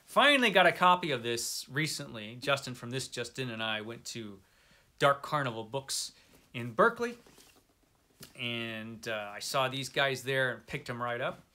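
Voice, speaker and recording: A middle-aged man with a very bright, forward voice at an average pitch. He chats casually to an online audience like a book vlogger, wandering into wry, self-mocking asides. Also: expressive, normal speed. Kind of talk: casual chat to camera, book recommendations.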